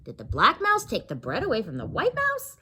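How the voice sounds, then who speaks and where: A woman with a husky voice reading a children's story aloud expressively, pausing to explain and ask questions. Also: on the high side, noisy background. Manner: expressive, explains and asks questions to young listeners. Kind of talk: animated read-aloud of a children's story with asides.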